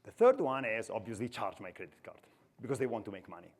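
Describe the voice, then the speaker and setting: A man's voice: lowish voice, sing-song, fast talker. Italian accent, man in his forties, conference speaker, chatty, self-deprecating humor, headset mic. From Italy. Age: 40s. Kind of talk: conference talk. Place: conference stage in a hall.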